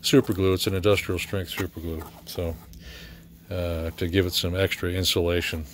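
A middle-aged American man giving a casual, halting, matter-of-fact walkthrough as a repair technician, filming in his workshop. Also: sits low, level tone. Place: cluttered indoor repair workshop. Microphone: handheld camera or phone mic. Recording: faint background noise.

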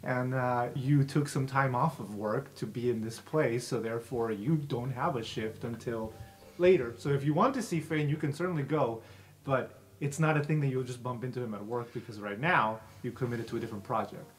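A man's voice: medium pitch, moderate pace. Male game master, casual narration.

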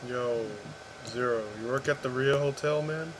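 A man's voice: mid-range voice, steady tone, moderate pace. An American man from Northern California talking casually and in slang over gamer voice chat. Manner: slangy, informal. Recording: noisy online gaming voice chat.

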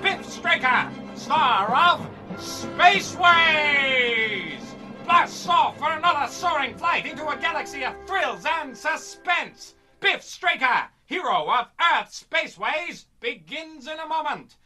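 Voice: high voice, animated, takes it slowly. A performer playing a grandiose announcer of a space adventure radio serial, proclaiming dramatically. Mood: grandiose, excited. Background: music.

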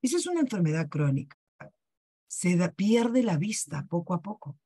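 A woman's voice: on the low side, very animated, moderate pace, warm tone, breathy edge, dry recording, clean studio sound. A woman with a Peruvian Spanish accent hosts a wellness workshop on a video call, selling in a persuasive way and asking rhetorical questions. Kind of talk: sales presentation on a video call.

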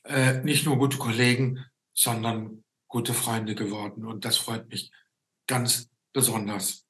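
A man's voice: mid-range voice, little pitch movement, slow pace, fairly bright tone. A man in his sixties hosting a casual video-call interview, searching for his words as he goes. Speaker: host. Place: room indoors, on a video call. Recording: roomy, echoing video-call sound.

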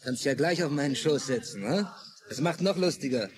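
An actor as a pushy, wheedling flirt. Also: male voice, fairly high pitch, animated, normal speed, background noise, natural voice. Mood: coaxing, leering. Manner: wheedling, crude, colloquial. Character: pushy, leering male flirt in a bar. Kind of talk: radio play acting.

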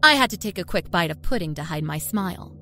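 A woman narrator giving an expressive, dramatic first-person reading of a scripted horror story. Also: fairly low pitch, fast. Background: musical score.

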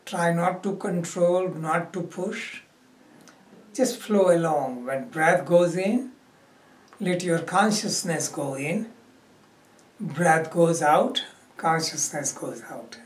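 Male meditation teacher, extremely slow pace, short instructive phrases with pauses, distant microphone. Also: fairly high pitch. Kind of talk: guided meditation. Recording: roomy echo, distant microphone.

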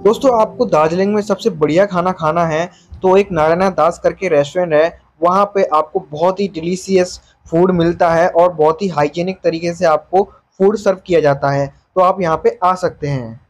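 A man gives a travel voice-over in a slightly high voice. He sounds informative and friendly, like a tour guide. Faint music plays under his narration. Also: steady tone, normal speed.

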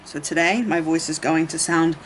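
A woman in her thirties with a low voice talks to her camera mic at close range in a small home room. She thinks aloud in a chatty, easygoing way, and steady background noise sits under her voice. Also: normal speed, rough recording. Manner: chatty, thinking aloud while sorting gear. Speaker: beauty and music vlogger. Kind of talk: casual vlog chat. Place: small home kitchen, then a home office. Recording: audible background noise, rain and thunder outside.

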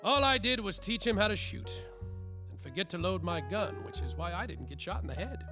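An actor playing a world-weary, semi-sober, hapless private detective with melodramatic, wry delivery in a noir radio parody. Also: male voice, high voice, animated, fairly quick, over music. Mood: wry narrating. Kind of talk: hard-boiled noir narration, radio play parody.

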